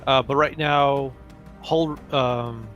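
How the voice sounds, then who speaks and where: Mid-pitched man thinking aloud at a game. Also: fairly even intonation, slow pace, lo-fi sound, soft background music. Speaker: tabletop game player. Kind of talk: casual game chat.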